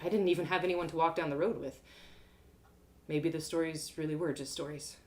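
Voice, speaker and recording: A woman reading a fantasy story aloud in an expressive, first-person narrating style. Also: low-pitched, a little fast.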